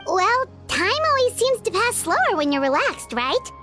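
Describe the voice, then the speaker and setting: A woman voicing a tiny, chatty, curious female companion in a video game, with a squeaky childlike voice. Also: sing-song, moderate pace, pushed and bright, full character voice. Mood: alarmed. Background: faint game music.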